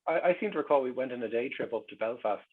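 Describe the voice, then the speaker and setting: A man's voice: medium pitch, animated, quick pace, breathy edge, narrow, tinny audio. A middle-aged man with an Irish accent telling anecdotes in a casual, conversational way. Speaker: guest.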